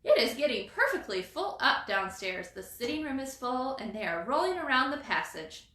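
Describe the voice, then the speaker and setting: A woman's voice: high-pitched, very animated, normal speed, bright, forceful tone, voice pushed up, heavily acted. A woman voicing a fussy, aggrieved chipmunk wife in a storybook, chatty and emphatic in her complaints. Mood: indignant. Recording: roomy echo.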